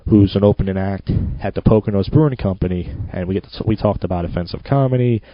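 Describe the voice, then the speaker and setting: Low-voiced man hosting a podcast. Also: quick pace, no echo. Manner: rambling, self-mocking, loose. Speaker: podcast host. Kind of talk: casual podcast monologue. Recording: dull lo-fi home recording.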